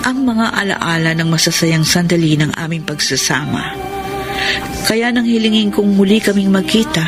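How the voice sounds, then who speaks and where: A woman's voice: mid-range voice, moderate pace, lightly acted. A woman playing a heartbroken, jilted young woman in a Filipino radio drama, plaintive and wistful. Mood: sad, resigned. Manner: plaintive, sorrowful. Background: clear background music.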